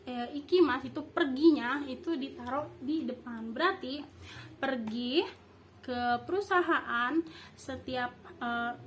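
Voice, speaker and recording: A young woman speaks in a high voice. She teaches in an informal, improvised way, stopping to search for words and correct herself. There is clear noise in the recording. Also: fairly bright tone. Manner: halting, improvised explaining with frequent self-corrections. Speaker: host teaching basic Japanese. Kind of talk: informal language review lesson.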